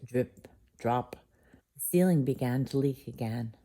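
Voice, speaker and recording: A woman's voice: a bass voice, unhurried, dark tone. A woman reading a children's bedtime story aloud as storyteller.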